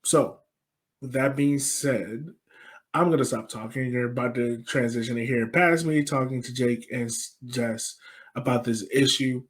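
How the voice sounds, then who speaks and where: A man's voice: mid-range voice, a little slow, no echo. A man hosting a casual podcast interview, talking informally and thinking aloud as he frames his questions. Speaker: podcast host.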